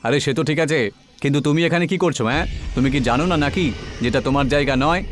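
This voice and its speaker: A man voicing an arrogant, callous rich young bully in an animated story. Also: on the high side, racing, close to an everyday voice. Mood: condescending.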